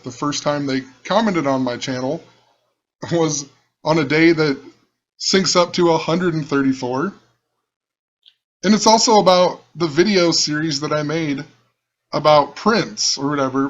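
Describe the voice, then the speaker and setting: A man with a rough, fairly high voice talks in a casual, rambling way and keeps coming back to the same points as he thinks out loud. The recording sounds dull and lo-fi. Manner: musing, rambling, repeats himself. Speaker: channel host. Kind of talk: casual screen-share commentary.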